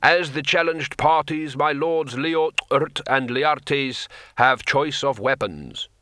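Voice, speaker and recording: A man's voice: on the high side, pushed and bright, no room sound, studio quality, pitched above the performer's own voice. A man voicing an elderly, pedantic lawmaster in a fantasy audiobook, quavering, formal and unsure of himself. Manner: uncertain, halting, formal.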